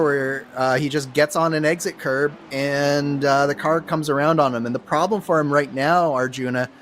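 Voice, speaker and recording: A man's voice: on the high side, a bit bright, slight background noise. A man co-commentating a sim race, explaining driving in a hesitant, thoughtful way. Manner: hesitant, thoughtful, many restarts. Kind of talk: live race commentary chat.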